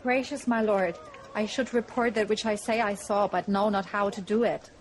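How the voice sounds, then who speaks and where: A woman's voice: mid-range voice, steady tone, moderate pace, slight background noise, natural voice, rough recording. A woman playing a fearful, hesitant messenger from the watch in a Shakespearean audio drama, pleading as she reports.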